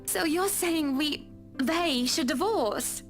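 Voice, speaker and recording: High-voiced genteel, anxious wife. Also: female voice, slow pace, faint music behind. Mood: hesitant, troubled. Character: anxious, genteel wealthy wife with a troubled marriage. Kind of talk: visual novel game acting.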